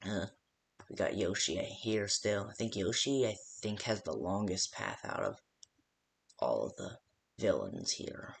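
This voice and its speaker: A man playing a hesitant, creaky-voiced game phone guide. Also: low voice, lively intonation, a little slow, a little hiss or hum, exaggerated voice. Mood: casual, hesitant. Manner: hesitant, rambling, full of stops and second thoughts. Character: rambling, hesitant male phone guide briefing a night guard. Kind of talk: improvised-sounding instructional phone call for a game.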